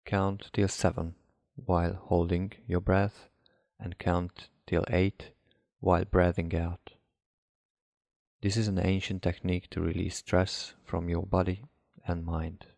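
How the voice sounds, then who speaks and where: A man reading a guided hypnosis relaxation script as a hypnotherapist, in a measured, instructive way with deliberate pauses. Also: sits low, little pitch movement, gravelly, darkish tone, no echo. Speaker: hypnotherapist guiding a session.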